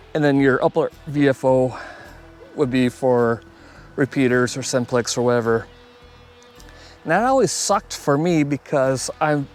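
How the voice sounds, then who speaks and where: A man talking casually and off the cuff as a hobbyist video host reviewing gear, plainspoken and conversational. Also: mid-range voice. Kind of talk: casual unscripted product review.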